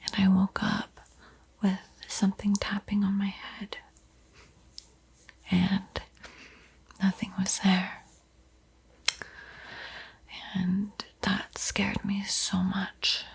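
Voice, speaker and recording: A woman speaks in a very breathy, soft whisper with a touch of creak. She guides gently in ASMR tutorial style, slowly, pausing often between short phrases. Her delivery stays hushed and even. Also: mid-range voice. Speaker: host of an art tutorial.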